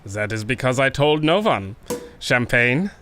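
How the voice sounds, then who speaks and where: An actor playing a proud, confident German baron and war officer, suave and commanding with a German accent in a radio drama. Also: male voice, mid-range voice, very animated, measured pace, sharp tone, slight background noise. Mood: smug, triumphant. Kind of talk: radio adventure drama acting.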